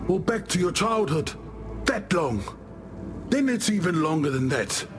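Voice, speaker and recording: Easygoing warrior chieftain, video game character, very animated intonation, slightly high male pitch, wry storyteller, music behind. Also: a little slow. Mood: reflective, wistful. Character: easygoing warrior chieftain of an island tribe, dead in afterlife.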